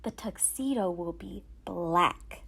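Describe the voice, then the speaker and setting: A woman voicing a gentle but firm mother in a children's storybook, soft, patient and measured. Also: fairly low pitch, vocal fry, breathy edge, exaggerated voice.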